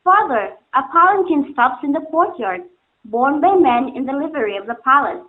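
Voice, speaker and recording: A woman acts a proud, innocent singing girl devoted to her father. Her voice is high and swings widely in pitch, earnest and poetic. The recording is thin, like a phone line. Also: expressive, moderate pace. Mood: pleading, resolute. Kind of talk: amateur stage-play dialogue, formal poetic English. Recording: muffled, phone-like narrowband sound.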